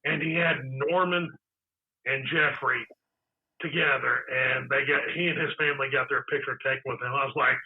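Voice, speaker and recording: A raspy-voiced man chatting excitedly on a casual podcast, repeating words as he rushes on. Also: medium pitch, a little hiss or hum. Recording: tinny phone-line sound.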